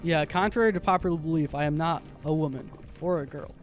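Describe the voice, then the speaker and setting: High-voiced man chatting over game music. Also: fast talker. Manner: chatty, self-narrating while playing, easily animated. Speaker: video game live streamer. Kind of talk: casual gaming stream commentary and chat.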